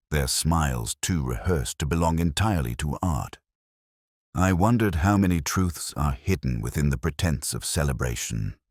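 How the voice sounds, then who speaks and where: Very deep-voiced man narrating detective fiction. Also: no room sound, studio quality. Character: brooding, cerebral male police detective narrating his case. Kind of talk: first-person scripted narration, slow-burning mystery.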